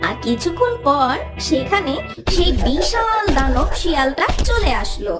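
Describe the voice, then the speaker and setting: A woman's voice: sits high, big pitch swings, unhurried. A woman narrating a scripted children's cartoon story. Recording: music under the voice.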